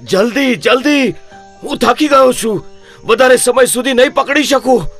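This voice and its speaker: A man's voice: very high voice, moderate pace, a bit bright, soft background music. A man voicing a brave monkey king in a children's cartoon story, commanding and urgent. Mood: strained, exhausted.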